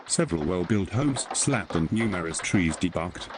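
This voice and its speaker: Low male storm broadcaster, acted, animated and clipped, live coverage over clear noise. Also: moderate pace. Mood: grave. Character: alarmed male TV storm reporter covering tornado damage. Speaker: weather broadcaster.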